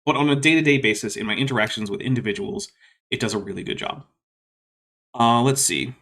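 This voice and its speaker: A man's voice: medium pitch, fairly quick, a bit bright. A man hosting a casual livestream question session, talking in a reflective, rambling way with many fillers and restarts, and a voice listeners call soothing. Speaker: YouTube host answering viewer questions.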